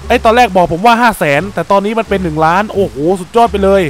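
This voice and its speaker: A young man giving playful, excitable commentary while playing a block-building game, exclaiming often and joking with viewers. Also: sits high, quick pace, a little hiss or hum. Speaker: Minecraft gameplay YouTuber.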